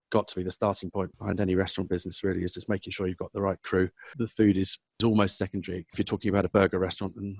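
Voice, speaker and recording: A man's voice: fairly low pitch, no echo. A man with a London English accent giving rambling, self-correcting conversational answers as an interview guest. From South London, England. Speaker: podcast guest, food business professional.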